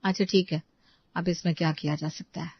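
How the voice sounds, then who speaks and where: A woman's voice: fairly low pitch, fairly even intonation, fairly quick, slightly rough, no echo, lo-fi sound. A woman playing a dutiful young Indian housewife who frets over household money in an acted family story.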